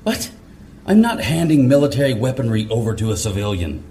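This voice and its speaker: An actor playing a gruff, commanding, territorial National Guard corporal in a zombie audio drama. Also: male voice, mid-range voice, big pitch swings, fairly quick, dark tone, slight background noise. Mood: stern. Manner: commanding, profane, stern.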